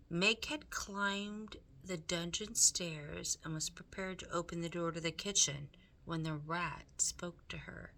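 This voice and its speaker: A woman narrating a children's novel aloud in an expressive read-aloud style, as a teacher would. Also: fairly low pitch, creaky, slight background noise.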